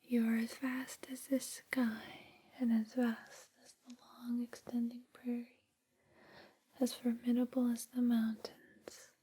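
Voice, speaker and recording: Breathy whispering woman, ASMR relaxation. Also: a little high, completely flat delivery, warm tone, low-quality recording. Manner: soothing, slow, reassuring. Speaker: ASMR roleplay host. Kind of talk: soft ASMR guided relaxation roleplay.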